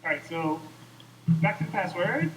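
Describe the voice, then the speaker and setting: A man's voice: sits high, big pitch swings, moderate pace, distant mic. A man co-hosting a livestream with casual, wry, joking banter and repetitive clowning, on noisy audio. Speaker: co-host of a live game preview stream.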